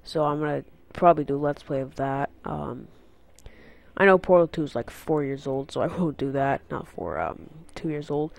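A man's voice: on the high side, expressive, moderate pace, vocal fry. Congested teen boy rambling at his computer. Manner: rambling, filler-heavy, goes on tangents. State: congested from a cold. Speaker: gaming channel host. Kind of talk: casual channel update. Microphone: unfamiliar headset mic.

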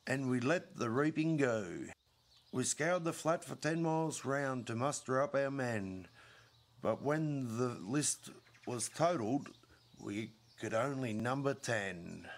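A middle-aged man recites a rhyming bush ballad at a leisurely pace. His medium-pitched voice rises and falls with emphasis, telling the comic tale dramatically. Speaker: poetry reader.

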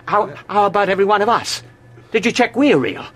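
An actor playing a feverish research scientist half-crazed with dread, halting and intense, in a radio drama. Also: male voice, high voice, lively intonation, a bit bright. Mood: urgent, paranoid.

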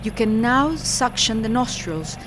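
A woman's voice: fairly high pitch, animated, measured pace. A woman reading scripted step-by-step instructions for a medical training film. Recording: clearly noisy background ambience.

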